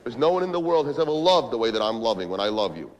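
A man acting a volatile male office boss in a dark comic monologue. His voice sits fairly high and swings widely in pitch. He repeats himself insistently, badgering and smooth-talking whoever is on the line. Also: lively intonation, a little fast. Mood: smooth, flirtatious. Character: volatile, manipulative, foul-mouthed male office boss on phone calls.

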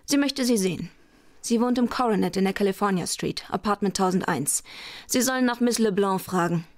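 An actress playing a private detective's shrewd, teasing secretary in a radio crime play, knowing and frank. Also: female voice, medium pitch, a little fast, a little mellow, dry recording, studio quality, lightly acted. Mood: matter-of-fact.

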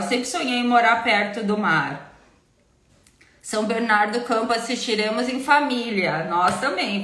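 A woman's voice: a little high, a bit bright, reverberant. A woman, a family lawyer with a cold, answering viewer questions on a live stream in a chatty, simple way, often ending points with a tag question. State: has a cold, nearly voiceless. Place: home office with bookshelves, indoors.

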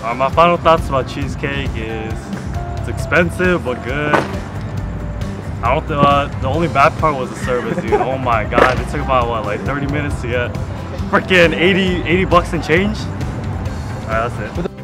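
A man's voice: mid-range voice, moderate pace. A teenage boy giving a blunt, mildly complaining verdict on a meal. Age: teens. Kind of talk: casual food review. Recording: loud music behind.

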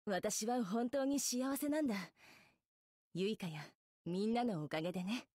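Casting: woman to play princely, gentle young woman idol for a game. Breathy, relaxed tone, courteous delivery, dry studio sound. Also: a little high, normal speed, dry recording, lightly acted. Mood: tender, reassuring. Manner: polite, chivalrous, gently reassuring. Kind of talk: Japanese mobile game visual novel acting.

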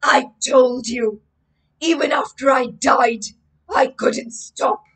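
A woman voicing the ghost of a young man, speaking in breathy, drawn-out words. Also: high voice, fairly bright tone. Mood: haunting.

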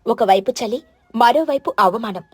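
A woman's voice: fairly high pitch, normal speed, slightly rough, dry recording. A woman storyteller reading a scripted children's moral story as narration.

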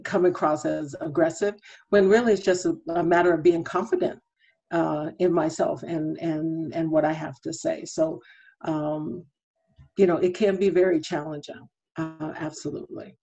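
Low husky woman on a muffled call. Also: fairly even intonation, mellow, faint music behind. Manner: measured, many fillers. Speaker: counselor on a panel. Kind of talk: panel discussion over video call. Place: room at home. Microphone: laptop webcam on a video call. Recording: muffled video call audio.

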